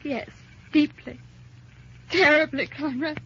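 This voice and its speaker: An actress playing a very young wife, earnest and pleading, in the theatrical manner of old-time radio melodrama. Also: female voice, sits high, fairly even intonation, slow, bright, forceful tone, exaggerated voice. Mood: torn, anguished. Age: young adult. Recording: dull old radio recording.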